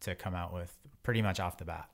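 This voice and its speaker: Very low-voiced man in his forties, chatting. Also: fairly quick, vocal fry. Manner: informal, rambling, frequent "like". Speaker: podcast guest, bike wheel company owner. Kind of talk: casual interview chat.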